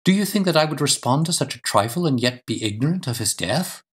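Anxious, insistent Victorian wife for audiobook, male reader, raised pitch, formal and emphatic. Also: lively intonation, a little fast, husky. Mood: earnest, pleading. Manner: formal, emphatic, precise diction. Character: earnest, composed but anxious Victorian wife, pleading and insistent. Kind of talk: audiobook character dialogue.

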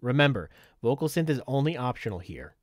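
A man giving a methodical, step-by-step software tutorial in an instructional way. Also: medium pitch, big pitch swings, brisk, no room sound, clean studio sound. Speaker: tutorial presenter.

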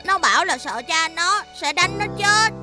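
A woman voicing a timid, defensive village boy in an animated fairy tale. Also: very high-pitched, moderate pace, over loud music.

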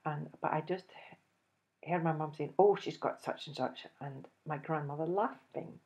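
A woman in her fifties with a Scottish accent, telling a personal story to camera in an animated, chuckling way. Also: deep voice, moderate pace, muffled recording. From Scotland. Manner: animated, chuckles often, acts out dialogue. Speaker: host. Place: indoors, small room, plain backdrop.

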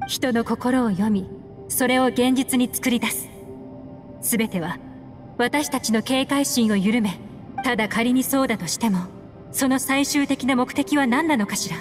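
A woman voices a composed, secretive female investigator in an anime game. Her voice sits a little high and her phrasing is measured and poised. Soft music plays underneath. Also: close to an everyday voice. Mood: pensive. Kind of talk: Japanese anime game dialogue.

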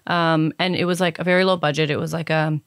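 Woman, thirties, native Serbian speaker, low voice, dry studio sound, filler-heavy casual talk. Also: steady tone, fast, no echo. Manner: thoughtful, many fillers and restarts. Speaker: cinematographer guest. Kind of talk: casual interview chat. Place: dark podcast studio. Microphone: studio mic on boom arm, headphones.

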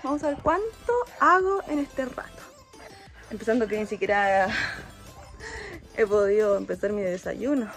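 A woman's voice: mid-range voice, normal speed, long pauses, fairly bright tone, soft background music. A young woman, chatting casually through her daily chores at home while holding her phone at arm's length. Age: 20s. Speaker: vlogger. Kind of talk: casual daily vlog narration. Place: small home indoors. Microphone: phone held at arm's length.

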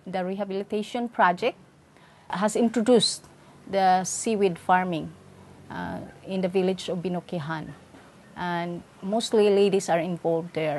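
A woman's voice: lowish voice, darkish tone, slight background noise. A middle-aged woman from the Philippines with a Filipino accent, speaking as a missionary in an interview testimony. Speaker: missionary on a church planting team. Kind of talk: interview testimony over a charity video. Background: music.

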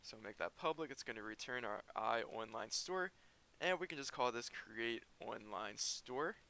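Bright-voiced man explaining code. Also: mid-range voice, lively intonation, normal speed, vocal fry. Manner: explains step by step while typing. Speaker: programming tutor. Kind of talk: screen-recorded coding tutorial.

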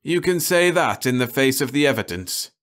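A man voicing a bitter, despairing male passenger on a lost starship, raising his voice in loud outbursts. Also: medium pitch, moderate pace, voice pushed up, lightly acted. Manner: loud, raised, anguished outbursts.

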